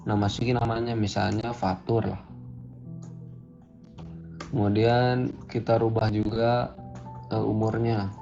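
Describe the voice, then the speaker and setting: Male coding instructor, laid-back, thinks out loud; low, warm voice; muffled lo-fi recording, faint music behind. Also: flat delivery, takes it slowly, creaky, pauses often. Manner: casual, thinks aloud while coding. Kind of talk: screen-recorded programming tutorial. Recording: dull lo-fi audio with faint music.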